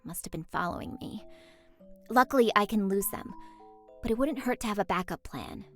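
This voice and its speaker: A woman's voice: mid-range voice, animated, normal speed, faint music behind, natural voice. An actress playing a moody, lonely teenage girl in a visual novel, wry and confiding. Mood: guarded. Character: moody, lonely, teasing teenage girl, secretly soft-hearted.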